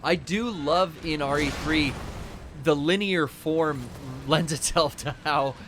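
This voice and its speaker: Man streaming a game, fairly high voice, lively swearing, noisy mix with game sounds. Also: slow pace. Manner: animated, swears freely. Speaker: stream co-host playing the game. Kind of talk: casual gaming chat.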